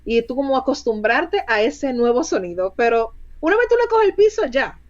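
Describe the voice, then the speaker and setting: A woman speaking as a bilingual recruiter in a casual interview, lively and quick to laugh. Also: high-pitched, highs cut off. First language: Spanish. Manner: lively, laughs often, chatty with many restarts.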